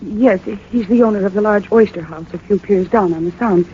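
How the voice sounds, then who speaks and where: A woman's voice: medium pitch, brisk, mellow, close to an everyday voice. An actress playing an earnest, polite young woman, an owner's daughter, in a 1940s radio drama. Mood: earnest, anxious. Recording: muffled 1940s radio recording, faint noise.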